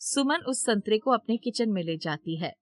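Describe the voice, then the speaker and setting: A woman's voice: a little high, lively intonation, moderate pace. A woman storyteller reading scripted narration for a moral tale.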